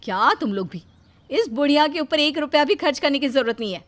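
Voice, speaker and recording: Woman putting on a wicked daughter-in-law, cartoon, shrill, mocking, gloating, loud. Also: lively intonation, brisk.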